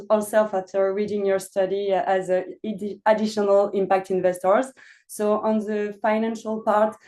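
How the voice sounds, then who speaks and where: A woman with a French accent speaking on a webinar panel, pausing often with fillers as she explains her work. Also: medium pitch, dark tone. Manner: hesitant, many pauses with "uh". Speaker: impact investment officer, panelist. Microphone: video call.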